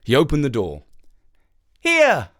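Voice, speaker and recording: A children's story narrator. The voice sits at a medium pitch but rises and falls dramatically. The phrasing is expressive and deliberate.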